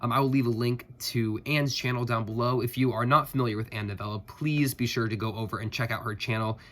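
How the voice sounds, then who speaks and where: A man's voice: medium pitch, steady tone, fast talker, a bit bright. A man with a stuffed-up voice from a head cold, chatting casually about his reading with many fillers and restarts. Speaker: booktuber.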